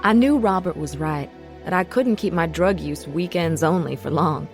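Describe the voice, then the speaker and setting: A woman's voice: fairly low pitch, darkish tone, natural voice. An actress playing a troubled middle-aged mother who uses drugs, hesitant and confiding, in a radio drama. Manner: hesitant, confessional. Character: troubled drug-using mother, lonely, wavering, confiding. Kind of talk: radio drama dialogue and first-person narration.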